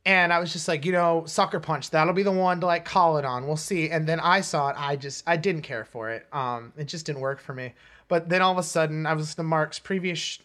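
A man talks casually as a podcast co-host about pop culture. His delivery wanders and halts, circling back as he explains things. The pitch sits in a middle range. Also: fairly quick, a bit bright. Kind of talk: casual comics and film chat.

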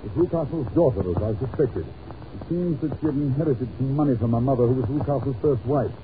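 An actor playing a commanding, clever detective in an old-time radio drama, with a clipped, authoritative manner. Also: male voice, mid-range voice, fairly quick, natural voice. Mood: calm, explanatory. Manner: clipped, authoritative, dryly dismissive. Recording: very muffled old radio recording, heavy noise.

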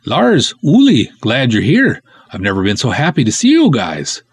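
Comic serial: earnest, simple Norwegian-American canoe cop, played by a man, animated, dry close recording. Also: medium pitch, normal speed, breathy edge, dry recording, voice pushed up, full character voice. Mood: eager. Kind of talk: comic first-person serial storytelling.

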